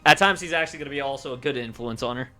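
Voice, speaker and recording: A man livestreaming casually, thinking aloud in a halting way and often trailing off mid-thought. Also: mid-range voice, bright, forceful tone, faint music behind. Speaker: streamer.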